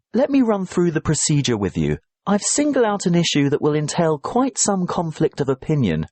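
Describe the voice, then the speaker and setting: A man voices a professor explaining things to his students in a brisk, assured way. The pitch moves a lot, and the sound is dry and close. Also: darkish tone, no room sound. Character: male professor guiding students, calm and brisk. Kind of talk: scripted exam dialogue.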